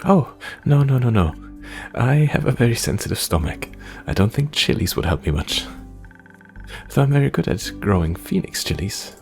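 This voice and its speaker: Man voicing an excitable, anxious young would-be bard. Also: mid-range voice, normal speed, gravelly, soft background music. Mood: flustered, earnest. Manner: effusive, rambling, self-deprecating.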